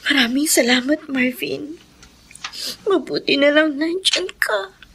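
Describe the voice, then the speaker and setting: A woman's voice: high-pitched, unhurried, creaky, a bit bright, heavily acted. A woman playing an earnest, timid young working daughter in a radio drama, pleading politely. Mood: shy, earnest. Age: young adult.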